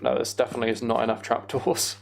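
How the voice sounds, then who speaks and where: A man's voice: medium pitch, level tone, fast talker, gravelly, a little hiss or hum. A British man chatting casually on a livestream while he plays a game, rambling and thinking aloud. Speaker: streamer.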